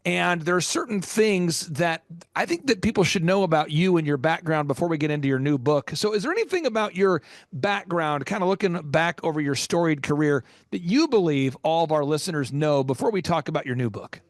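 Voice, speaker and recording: High-pitched guy hosting a podcast, loud and punchy, super dry sound. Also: lively intonation, fairly quick, dry recording. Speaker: host.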